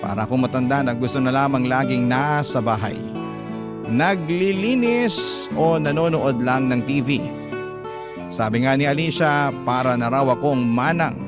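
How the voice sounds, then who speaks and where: A man narrating a love story in first person, classic radio drama style, with gentle storytelling. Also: mid-range voice, moderate pace. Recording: music bed behind. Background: music.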